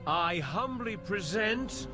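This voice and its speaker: An actor playing a cringing, servile attendant to a vampire lord in a video game, hesitant and deferential. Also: male voice, sits high, expressive, takes it slowly, music in the background. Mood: timid, deferential. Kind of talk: dramatic scripted game dialogue.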